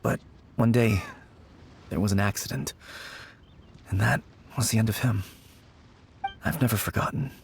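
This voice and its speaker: A man's voice: on the low side, moderate pace, gravelly, pauses often, slight background noise. An actor playing an anxious young man in a game, haunted by the loss of his childhood friend, speaking in a subdued, hesitant way. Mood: wistful. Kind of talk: game voice acting.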